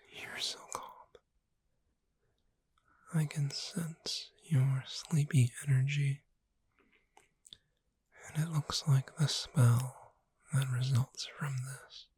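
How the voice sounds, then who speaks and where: A woman playing a gentle witch bookseller in a soothing, hushed, whispered ASMR roleplay, close to the microphone. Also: a bass voice, heavily acted. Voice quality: breathy whisper. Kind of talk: soft-spoken ASMR roleplay. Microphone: close microphone in a dry space.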